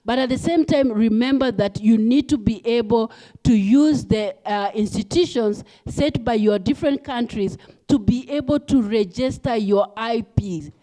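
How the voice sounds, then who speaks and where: A woman speaking as a panelist at a conference, in a measured way with occasional fillers. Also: a little high, warm tone, poor audio. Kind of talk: panel discussion remarks. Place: conference hall.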